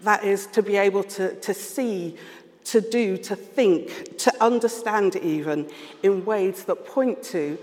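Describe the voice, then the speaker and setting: A man with a London accent preaching a sermon, earnest and measured, pausing often as he reflects. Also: high voice, a little mellow. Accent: London English. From London, England. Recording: long reverb of a large hall.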